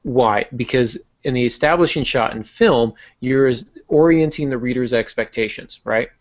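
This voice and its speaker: A man with a mid-pitched voice hosts a casual discussion in an analytical, teacherly way. He poses questions and answers them himself, over dull, lo-fi online audio. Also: normal speed. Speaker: podcast host.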